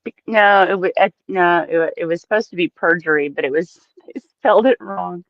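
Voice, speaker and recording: A woman's voice: fairly low pitch, a little fast, no echo, highs cut off. A woman chatting casually as a livestream co-host, giggly and prone to repeating her phrases.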